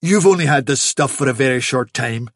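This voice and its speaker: Man as a patient, wily rabbit chief, husky. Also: mid-range voice, animated, fairly quick, no room sound, studio quality. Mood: patient, weary. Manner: patient, reasonable, gently condescending. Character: wily male rabbit chief, fatherly, patient, mock-kindly on trial. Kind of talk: storybook character reading.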